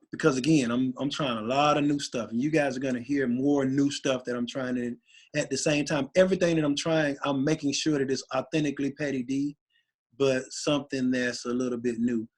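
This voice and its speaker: A man, a rapper guest, talking casually and excitedly in an interview, rambling with many restarts. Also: mid-range voice. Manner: animated, rambling, voice gets raspy when excited.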